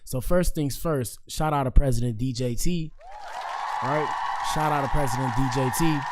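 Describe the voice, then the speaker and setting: A young man with a hoarse, worn voice hosts a loose livestream podcast. He rambles in slang at a middling pitch and talks to his viewers like friends. He grew up in Miami-Dade County. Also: normal speed, a loud crowd, heavy background noise. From Miami-Dade County, Florida. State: hoarse, has partly lost his voice. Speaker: podcast host. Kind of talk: casual livestream commentary.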